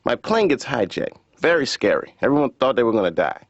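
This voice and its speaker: A man's voice: mid-range voice, very animated, brisk, no room sound. A man playing a wry therapy patient in a cartoon, telling his worries as an emphatic, conversational stand-up routine. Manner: emphatic, conversational comic storytelling. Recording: dull, lo-fi audio.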